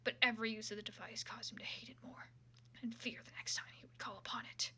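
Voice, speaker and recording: A woman's voice: on the high side, steady tone, quick pace, sharp tone, breathy edge, in a whisper. A woman reading fan fiction aloud with casual, irreverent and sometimes profane commentary.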